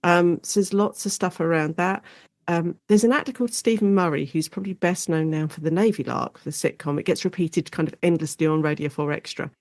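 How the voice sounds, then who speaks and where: A woman with an English accent explaining things as an expert guest in a conversational interview, in an animated, informal way. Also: fairly low pitch, brisk, dry recording.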